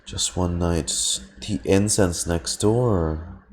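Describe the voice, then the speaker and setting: A man's voice: low-pitched, slow pace, darkish tone, slight background noise. A man streaming a game with casual live commentary, musing and thinking aloud in a hesitant way.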